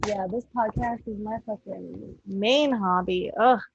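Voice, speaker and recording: A woman's voice: mid-range voice, measured pace, vocal fry, slight background noise. A woman podcast host chatting casually and playfully with swearing, on slightly dull online-call audio. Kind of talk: casual chat.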